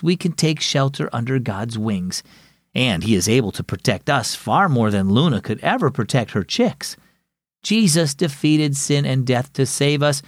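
A man voicing a gentle, patient mother who explains things reassuringly in a children's story. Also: medium pitch, no room sound, studio quality, natural voice.

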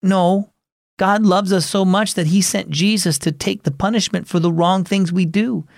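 A man's voice: high-pitched, fairly even intonation, moderate pace, vocal fry, no room sound, clean studio sound, pitched above the performer's own voice. A man voicing a tearful, earnest young girl in a storybook reading. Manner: sniffly, pleading.

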